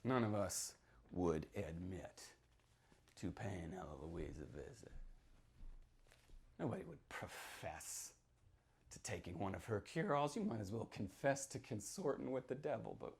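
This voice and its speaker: A man performing a sly small-town local who tells a witch legend, theatrical and confiding, moving between hushed and declaimed delivery. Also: lowish voice, sing-song, a little slow, creaky, long pauses, voice pushed down, full character voice. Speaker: author reading from own novel. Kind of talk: dramatic live reading of a horror story.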